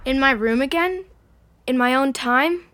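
A woman's voice: high-pitched, measured pace, very noisy. A woman playing an earnest, inquisitive young boy, a son, in an audio drama, with a youthful voice. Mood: musing.

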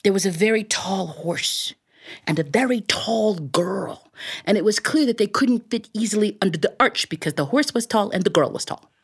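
A woman tells a comic folktale live in a theatrical style. Her voice is husky and very animated, sweeping through a wide pitch range. She throws in vocal sound effects as she narrates. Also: normal speed. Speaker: storyteller. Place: radio studio, live.

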